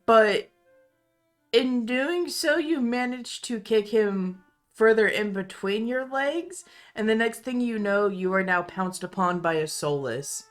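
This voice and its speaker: Female game master for tabletop roleplay, improvised scene narration, very measured pace, faint music behind. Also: on the high side.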